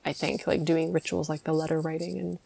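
A woman's voice: low-pitched, level tone, brisk, creaky, mellow, slightly rough, no echo, rough recording. A young woman hosting a podcast, chatting casually and laughing often. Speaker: podcast host. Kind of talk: casual chat.

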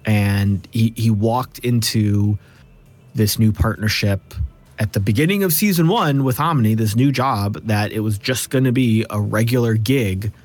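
A man's voice: fairly low pitch, unhurried, a little mellow. A man in a cast discussion, reflecting and explaining as he builds his points with repeated phrasing. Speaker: cast member of an actual play series.

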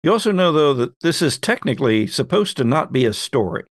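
A man acting as game master, narrating a tabletop story off the cuff with measured, hesitant pauses. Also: on the high side, sing-song, dry recording, clean studio sound.

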